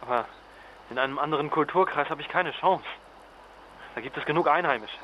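An actor playing a weary, worried journalist husband in a naturalistic radio drama, hesitant and reluctant. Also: male voice, on the high side, a little fast, close to an everyday voice. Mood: despairing. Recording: dull lo-fi link, clearly noisy background.

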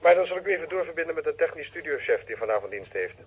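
An actor playing a courteous, businesslike studio attendant on the telephone in a vintage radio play, with a Netherlands Dutch accent. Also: male voice, fairly high pitch, sing-song, a little hiss or hum. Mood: brisk, polite. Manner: polite, brisk, businesslike. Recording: muffled telephone-like old radio recording.

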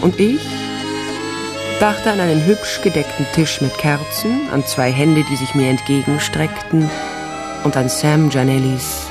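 A woman's voice: low-pitched, unhurried, over music. An actress playing a tough, wry female private detective, narrating a crime radio play in a terse, observant way. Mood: wistful.

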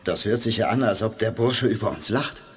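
A man's voice: fairly low pitch, fairly quick, over music, lightly acted. An actor playing a blunt, worried male sidekick in a 1980s radio play. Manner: anxious, blunt.

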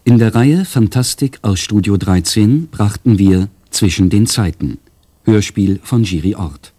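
Low, husky male radio announcer. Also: expressive, unhurried, warm tone. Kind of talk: scripted closing credits announcement.

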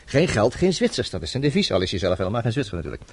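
A man's voice: medium pitch, very animated, very fast, husky, dry recording. An actor playing a worldly, self-assured man who advises his troubled friend in a chatty, teasing radio drama style. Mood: explaining, confident.

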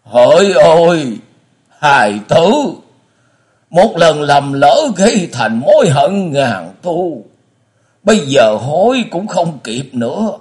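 A man voicing a remorseful, frail old blind prisoner father in an audiobook story, with slow, sighing, drawn-out speech. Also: a little high, very animated, pitched above the performer's own voice.

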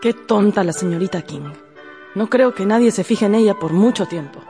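An actress playing a frivolous, catty young sister gossiping in a period romance radio drama. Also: female voice, medium pitch, animated, normal speed, warm tone, faint music behind, natural voice. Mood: gossipy. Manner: gossipy, chatty. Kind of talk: Spanish-language radio drama acting.

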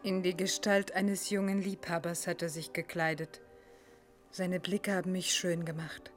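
A woman's voice: fairly low pitch, little pitch movement, measured pace, close to an everyday voice. An actress playing a wistful dead woman in a poetic radio play, lyrically remembering a lover. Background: music.

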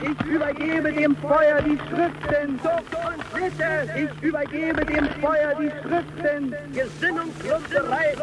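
Male proclaimer, slow and monotone, solemn public declamation, vintage noisy archive sound. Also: extremely high pitch. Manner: declamatory, repeating set phrases. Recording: very noisy old archive recording.

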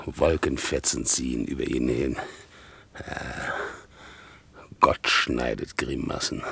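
A worn-out old man in a radio drama, humble and bitter. His voice is extremely low and full of gravel, and he speaks slowly, haltingly, as if musing to himself. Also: fairly bright tone, dry recording, exaggerated voice. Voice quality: gravelly, husky. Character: broken, bearded old ex-convict, humble and bitter. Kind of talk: 1960s German radio play acting.

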